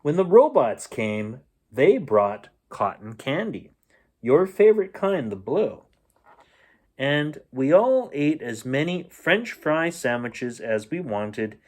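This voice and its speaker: A man reading a children's storybook aloud in an expressive, playful storytelling manner. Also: mid-range voice. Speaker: bedtime story reader.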